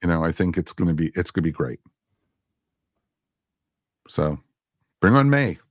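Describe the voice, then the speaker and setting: A man's voice: sits low, lively intonation, normal speed, no echo, studio quality. A man hosting a podcast in a casual, enthusiastic and agreeable way. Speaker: podcast host.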